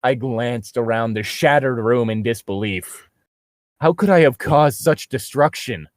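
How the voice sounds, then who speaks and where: A man's voice: medium pitch, measured pace, dry recording, studio quality. A man co-hosting a casual comedy reading, reading aloud and breaking off into sarcastic, sweary tangents. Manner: sarcastic, swears freely, goes on mocking tangents. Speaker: co-host reading a fan fiction aloud and mocking it. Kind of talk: casual comedic commentary between read-aloud passages.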